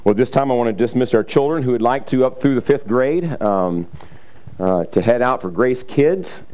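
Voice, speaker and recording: A middle-aged man preaching a sermon, a little raspy, talking in a loose conversational way with frequent fillers and throat clearing. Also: medium pitch, animated, moderate pace, a little mellow. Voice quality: slightly raspy from cheering. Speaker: pastor. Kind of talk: sermon with scripture reading.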